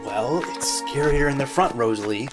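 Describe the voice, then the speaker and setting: A man's voice: mid-range voice, normal speed, music in the background, lightly acted. A man playing a jumpy, curious, bookish explorer in a sci-fi audio drama, with a formal, wide-eyed manner. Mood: nervous.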